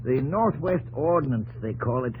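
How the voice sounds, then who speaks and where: An actor playing a brisk, hearty army major general with a blunt manner, in 1950s radio drama style. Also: male voice, medium pitch, lively intonation, a little mellow, lightly acted, rough recording. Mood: hearty, wry. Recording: old radio recording with faint noise.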